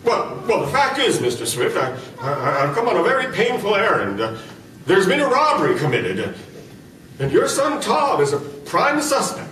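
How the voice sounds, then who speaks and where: A man's voice: high voice, wildly swooping pitch, normal speed, over music. A man playing a blustering small-town police chief in radio-drama style, hesitant and officious, with stammers. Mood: awkward. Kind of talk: old-time radio adventure acting. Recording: roomy, distant, noisy microphone pickup.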